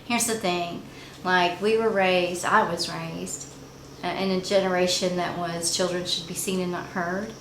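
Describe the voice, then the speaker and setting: Chatty middle-aged mom in echoey room. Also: female voice, on the low side, a little slow. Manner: chatty, animated storyteller. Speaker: mother in family channel. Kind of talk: casual storytime chat. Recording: echoey room with faint noise.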